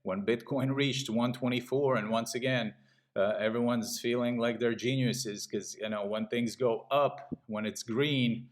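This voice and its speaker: A middle-aged man from Latvia giving a casual sales pitch while walking through trading charts. He talks in a pushy way and keeps checking in with "okay?". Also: steady tone, unhurried. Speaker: trading channel host.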